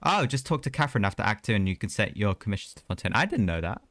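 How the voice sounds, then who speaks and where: Male streamer: fairly low voice, casual, chatty gameplay commentary, easygoing. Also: very animated, racing, a bit bright, dry recording. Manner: easygoing, chatty, reacting aloud. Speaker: game live streamer.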